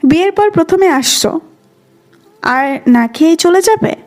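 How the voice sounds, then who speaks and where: Woman acting very high-pitched young wife. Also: lively intonation, unhurried, darkish tone, soft background music. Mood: tender, coaxing. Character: soft-hearted, pleading young wife, female student. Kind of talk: audio story acting.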